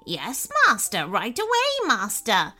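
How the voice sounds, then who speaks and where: A woman's voice: medium pitch, wildly swooping pitch, slow, pushed and bright, soft background music, exaggerated voice. A woman voicing a cheerful, loyal hunchback servant in a storybook, eager to please and reassuring. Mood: dutiful. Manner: servile, enthusiastic. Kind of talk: storybook character voice.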